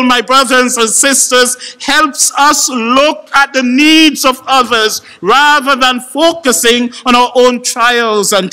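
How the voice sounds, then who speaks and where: High-voiced preacher in a reverberant church. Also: male voice, bright, forceful tone. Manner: impassioned preaching, rising to emphatic declamation. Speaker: pastor. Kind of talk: sermon. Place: church sanctuary with congregation. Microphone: podium microphone.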